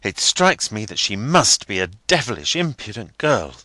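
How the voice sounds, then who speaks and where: A man voicing a stiff, half-grumbling young gentleman fiancé. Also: on the low side, big pitch swings, no room sound, heavily acted. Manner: measured, slightly sulky delivery.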